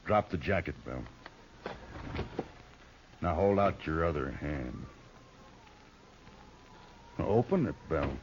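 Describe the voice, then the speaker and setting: An actor playing a menacing, bullying outlaw leader in an old-time radio western, with an American accent and folksy, taunting threats. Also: male voice, deep voice, a little mellow, exaggerated voice. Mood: cold menace. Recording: dull, noisy old radio recording.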